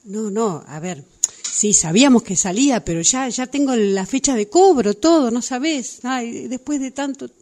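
A woman's voice: on the high side, animated, normal speed, warm tone, lightly acted. Emphatic retired Argentine woman as a woman acts her. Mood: elated. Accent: Argentine Rioplatense. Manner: gushing, emphatic, insistent. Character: excitable newly retired woman, stubborn dreamer. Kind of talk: radio drama workshop phone dialogue.

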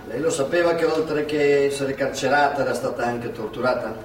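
An actor playing a polite interviewer who questions the old women and reads aloud from a book, in a live stage recording. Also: male voice, mid-range voice, fairly even intonation, a little fast, roomy sound, recorded from a distance, a little hiss or hum, close to an everyday voice, low-quality recording. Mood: inquiring. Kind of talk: theatre reading, recorded live.

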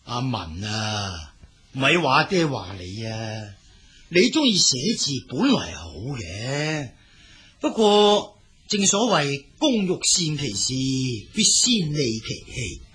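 A man playing a poor, scolding but loving old father in a Cantonese storytelling drama, nagging in a sharp, raspy voice. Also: mid-range voice, sing-song, slow. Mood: admonishing, weary. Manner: nagging, sighing, admonishing.

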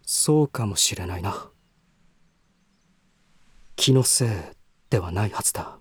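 Breathy, troubled young gentleman. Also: lowish voice, expressive, measured pace, no echo, heavily acted. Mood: guarded, hesitant. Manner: hesitant, introspective, formal. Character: troubled, reserved young gentleman brooding over his half-brother. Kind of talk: Japanese voice drama acting with inner monologue.

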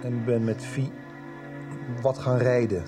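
An actor playing a patient, placating middle-aged husband, weary of arguing, in a Dutch radio soap. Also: male voice, mid-range voice, steady tone, takes it slowly, over music, lightly acted. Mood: defensive. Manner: patient, soothing.

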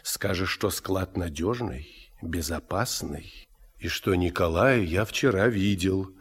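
An actor playing a good-humoured father who is calmly in charge, with a husky voice, in a Russian radio drama. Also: deep voice, takes it slowly. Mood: thoughtful, teasing.

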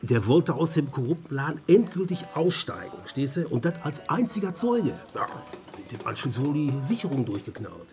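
Gruff, weary man in radio drama. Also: fairly high pitch, sing-song, moderate pace, darkish tone. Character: scruffy, weary, irritable father on the run. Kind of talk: German radio drama acting.

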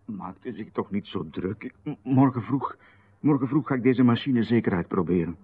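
An actor playing a polite, nervously apologetic young artist in a radio drama, hesitant and stammering. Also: male voice, medium pitch, animated, moderate pace, slightly rough. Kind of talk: 1990s radio drama acting. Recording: lo-fi radio broadcast, phone-like band.

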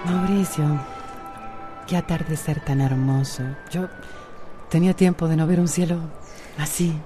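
A woman's voice: sits low, steady tone, measured pace, over music. An actress playing a bold, independent woman haunted by an abusive marriage, in an emotive radio drama style. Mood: weary, wistful. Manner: emphatic, emotive, hesitant repetitions.

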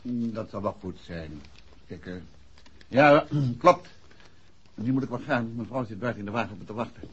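A muffled 1960s radio recording of a courteous amateur detective. His voice is very husky and moves animatedly in pitch. He questions politely and shrewdly, in measured phrases. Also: expressive. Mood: polite, probing. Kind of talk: 1960s radio drama acting.

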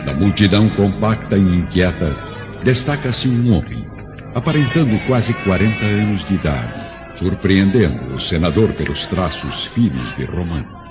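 A man narrating a radio play in a grave, measured, literary storytelling manner. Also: low-pitched, very animated. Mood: grave, dramatic. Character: solemn male storyteller of an ancient Roman tragedy. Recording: dull lo-fi audio with music behind.

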